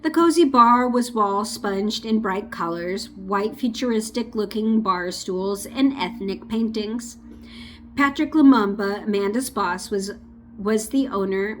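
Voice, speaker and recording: A woman with a fairly high voice reads a nonfiction book aloud in a casual, amateur style. She trips over unfamiliar names and restarts sentences. Now and then she stops for a quick, offhand comment of her own. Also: a little hiss or hum. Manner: stumbles over names and restarts, breaks off for chatty asides. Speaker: audiobook reader. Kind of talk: amateur audiobook reading with asides.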